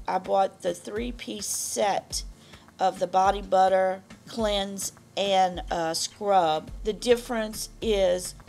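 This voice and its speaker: A woman beauty vlogger talks through product copy at an unhurried pace. Her voice is deep, rough and strained from allergies, with a gravelly rasp. Faint music plays behind her. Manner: slow, careful reading of product copy. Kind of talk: reading product descriptions to camera.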